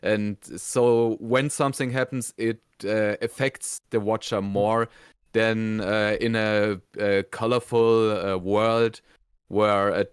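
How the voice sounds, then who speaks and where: Clear-voiced man, halting, dry close sound. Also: on the low side, level tone, dry recording. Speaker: review show co-host. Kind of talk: casual review discussion.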